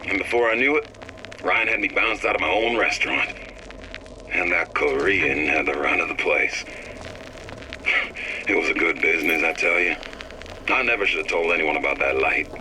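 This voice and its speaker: An actor playing a bitter, regretful restaurant owner, grumbling in folksy speech for a game audio diary. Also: male voice, deep voice, moderate pace, long pauses, natural voice. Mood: bitter, rueful. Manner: colloquial, grumbling. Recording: noisy old audio diary recording.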